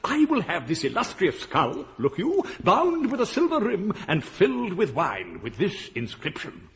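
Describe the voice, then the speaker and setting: Wordy pompous gent, high voice, swoops around a lot. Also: male voice, huge pitch swings, measured pace, fairly bright tone, exaggerated voice. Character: learned, eccentric gentleman scholar, pompous and curious. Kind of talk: 1980s radio drama acting.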